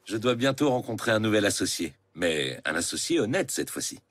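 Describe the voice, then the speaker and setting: A man voicing a kindly, busy father in a cartoon, expressive and affectionate. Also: on the low side, brisk, no echo. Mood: reassuring. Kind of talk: French animated series dubbing.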